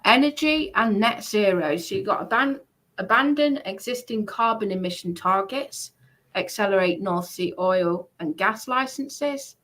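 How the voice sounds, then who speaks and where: A woman with a British accent and a bright, forward voice at a middling pitch. She reads through points as a casual presenter, explaining as she goes and sometimes halting mid-list. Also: animated, poor audio. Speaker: presenter going through party policies. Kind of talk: casual talk, reading aloud from notes.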